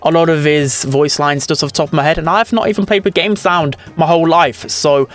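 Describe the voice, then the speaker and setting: A man's voice: fairly high pitch, a little fast. A man hosting a gaming video, giving casual opinion commentary.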